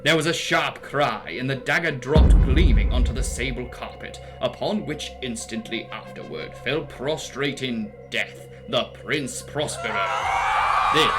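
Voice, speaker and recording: A man's voice: medium pitch, poor audio. A man narrating a horror story as a dramatic audiobook reading, with a theatrical, solemn and emphatic delivery. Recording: music behind the voice. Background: music.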